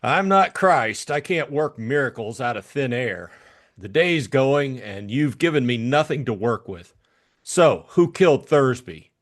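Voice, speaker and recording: A man's voice: mid-range voice, animated, a little slow, lightly acted. Man playing a blunt hard-boiled detective. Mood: irritated. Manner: blunt, impatient. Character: hard-boiled, irritable male private detective, gruff and commanding. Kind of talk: audiobook drama acting.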